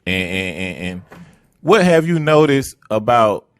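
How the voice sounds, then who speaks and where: A man's voice: fairly low pitch, very animated, unhurried, clear, resonant tone, no echo, clean studio sound. A man in his thirties with an Atlanta accent, hosting a podcast in loose, slangy, rambling chat. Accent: Atlanta Southern. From Atlanta area, USA. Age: 30s. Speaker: podcast host. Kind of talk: casual chat.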